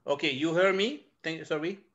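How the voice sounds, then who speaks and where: A man's voice: a little high, a little fast, fairly bright tone. A man asking hesitant questions full of fillers at an online meeting over a video call. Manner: hesitant, many fillers, switches to French. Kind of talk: halting questions in a public meeting. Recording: muffled video call audio.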